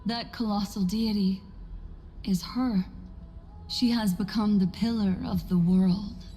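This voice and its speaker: Woman as a slow, mellow musing character. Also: medium pitch, fairly even intonation, a little hiss or hum, natural voice. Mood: awed, musing. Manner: musing, unhurried. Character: calm, curious, quietly awed female onlooker. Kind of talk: video game voice acting.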